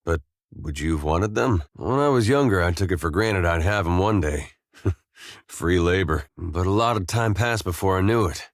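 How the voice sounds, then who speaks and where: An easygoing country soldier from a fantasy video game, with a low voice and a folksy drawl. He is wry and a little weary, moves freely up and down in pitch, and is recorded very dry. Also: animated, normal speed, dry recording. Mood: curious, wry. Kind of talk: video game companion dialogue.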